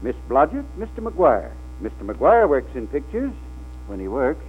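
Folksy old hotel man, swooping pitch. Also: wildly swooping pitch, unhurried, slight background noise. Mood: chatty. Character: folksy older man running a small Hollywood hotel. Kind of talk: 1940s radio acting. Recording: tinny 1940s radio recording, music behind.

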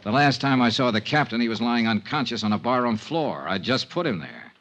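A man's voice: medium pitch, lively intonation, brisk, a bit bright. An actor playing a tough, world-weary insurance investigator who narrates his case in terse, clipped, brooding lines in a 1950s radio drama. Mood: guarded. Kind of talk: 1950s radio drama acting, hardboiled first-person narration. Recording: 1950s radio recording with organ music.

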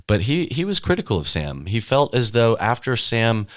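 A man's voice: medium pitch, very animated, moderate pace, fairly bright tone. A man talking as a tour guide and music historian, telling stories conversationally in an interview.

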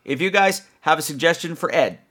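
Male podcast host, playful and campy, very animated intonation, casual chat. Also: fairly high pitch, a little fast. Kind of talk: casual chat answering listener voicemails.

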